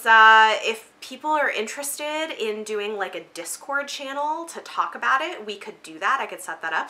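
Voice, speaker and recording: A woman's voice: mid-range voice, sharp tone. A woman in her thirties chatting casually and emphatically to camera as a book vlogger in a room at home. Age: 30s. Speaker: book vlogger, channel host. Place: small home room with bookshelves, indoors.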